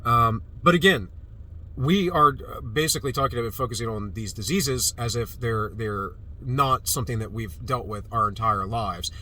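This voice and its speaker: A man's voice: mid-range voice, moderate pace, bright, forceful tone. A man recovering from a cold who talks in a rambling, dismissive way, with many fillers and restarts. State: recovering from a cold, slightly stuffy. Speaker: vlogger. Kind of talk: casual opinion monologue. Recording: distant mic with street noise.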